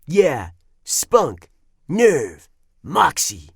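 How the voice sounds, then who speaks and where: A woman's voice: deep voice, very animated, very slow, gravelly, slightly rough, no room sound, studio quality, pitched below the performer's own voice, heavily acted. A woman voicing a big bullying male bear cub who taunts and mocks in a sing-song way. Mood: mocking.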